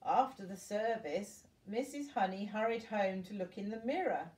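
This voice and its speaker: Older woman, storybook reading for children, expressive, grandmotherly asides, roomy echo, distant from mic. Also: mid-range voice, moderate pace.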